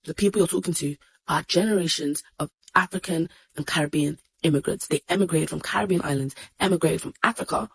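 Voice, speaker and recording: A woman delivers a scolding rant straight to camera, blunt and exasperated. She talks quickly, and her voice is husky with a gravelly edge. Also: low-pitched, dry recording, low-quality recording. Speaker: social media commentator. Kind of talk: ranting direct-to-camera video.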